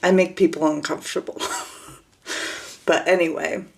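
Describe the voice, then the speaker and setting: A woman talking casually to camera as she introduces herself, in a monotone voice with hesitant pauses and restarts. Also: sits low, creaky, a little hiss or hum. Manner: monotone, flat delivery; hesitant, many fillers and restarts.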